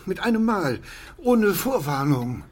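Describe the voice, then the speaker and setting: A nervous, needy man in a radio drama. His high voice presses on in anxious, halting repeats and insistent questions. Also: big pitch swings, darkish tone, full character voice. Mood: uneasy. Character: anxious, needy man who dreads the plague ending.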